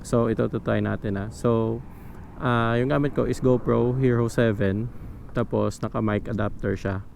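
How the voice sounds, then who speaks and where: A man's voice: medium pitch, unhurried, creaky. A man vlogging from his motorcycle, chatting casually through a helmet microphone with lots of fillers. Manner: relaxed, chatty, many fillers. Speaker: motovlogger. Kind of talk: casual tutorial vlog. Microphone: helmet microphone into action camera. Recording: wind and engine noise from a helmet mic.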